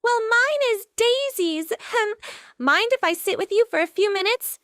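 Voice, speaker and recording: A woman's voice: extremely high pitch, lively intonation, measured pace, fairly bright tone, no room sound, studio quality, full character voice. A woman voicing a cheerful, friendly character in a home-made storybook play, sweet and chatty.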